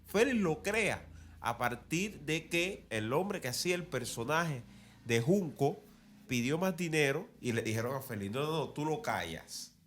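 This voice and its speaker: A man's voice: fairly high pitch, fairly bright tone. A man with a Cuban accent giving conversational, digressive and emphatic commentary as a soap opera commentator. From Cuba. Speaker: commentator on radio and television soaps.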